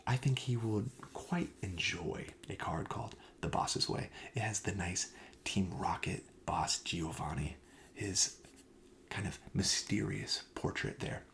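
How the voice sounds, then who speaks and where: A man speaking softly in a breathy half-whisper, playing a gentle, polite shop clerk in an ASMR roleplay. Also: sits low, a little slow, a little hiss or hum. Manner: gentle, polite, attentive salesman.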